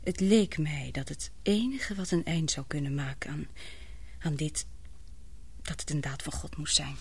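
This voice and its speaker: An actress in a radio play playing a frightened young woman confiding in her lover, halting and breathless in an earnest way. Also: deep voice, darkish tone, husky, full character voice. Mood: subdued, weary.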